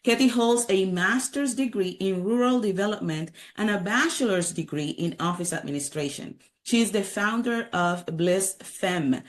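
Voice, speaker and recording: A woman hosting a podcast, reading a guest introduction and asking measured, encouraging questions. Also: mid-range voice. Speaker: podcast host.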